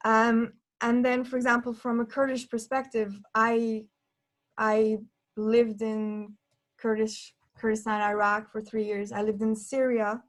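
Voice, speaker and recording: A woman from Norway taking part in a panel discussion, talking in an earnest, reflective way and pausing to restart her thoughts as she goes. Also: fairly high pitch, little pitch movement. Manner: earnest, thinking aloud with pauses and restarts.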